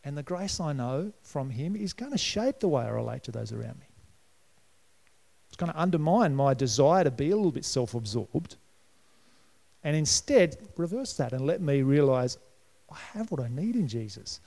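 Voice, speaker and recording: A middle-aged Australian man preaching in a chatty, conversational way, with a gravelly edge to his voice. His pitch sits a little high for a man, and he now and then jumps up louder and higher. The church hall around him leaves a long reverb on every phrase. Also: sing-song, moderate pace. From New South Wales, Australia. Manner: conversational preaching with sudden lifts in pitch and volume. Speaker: pastor. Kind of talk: sermon.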